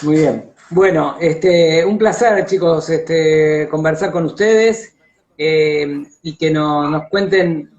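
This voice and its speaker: An Argentine man hosts a casual live chat in a slightly high voice. He speaks in a wordy, appreciative way. The sound is dull, lo-fi livestream audio. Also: takes it slowly, a little mellow. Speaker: interview host. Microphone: internet live video call.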